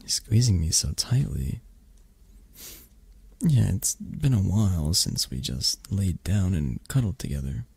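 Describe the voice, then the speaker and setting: Male actor for affectionate dragon boyfriend, ASMR audio roleplay; unhurried, expressive swings in pitch, breathy and playful. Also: no room sound, heavily acted. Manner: soft, breathy, halting, cooing.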